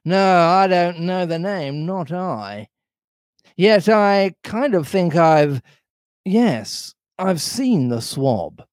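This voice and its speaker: A man voicing a wily, blustering pirate sea-cook from a storybook, with drawn-out, emphatic delivery. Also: high voice, big pitch swings, dry recording, clean studio sound, pitched above the performer's own voice, full character voice. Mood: excited, scheming. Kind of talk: storybook read-aloud character voice.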